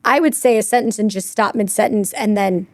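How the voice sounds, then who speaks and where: A woman's voice: medium pitch, a little fast, no echo. A woman in her forties, a mother co-hosting a podcast in casual chat, telling earnest personal stories and sharing what she has read. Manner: earnest storyteller, shares personal anecdotes and research. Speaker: podcast co-host.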